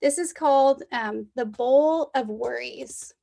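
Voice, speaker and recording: A woman with a fairly high voice speaks over a video call at a poetry reading. She is a mentor sharing youth writing. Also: slow pace, gravelly, warm tone. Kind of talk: introducing and reading a poem aloud.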